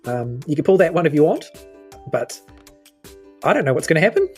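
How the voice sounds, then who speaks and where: A woman's voice: low voice, big pitch swings, fairly quick, a little mellow, soft background music. A woman playing a joking, haphazard male captain of a flying machine, making rambling, hesitant announcements.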